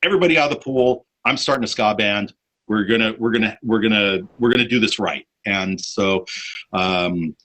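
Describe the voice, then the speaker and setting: A man's voice: lowish voice, pushed and bright, rough recording. A man hosting a casual podcast chat, telling long rambling stories with many fillers and restarts. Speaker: podcast host, bassist.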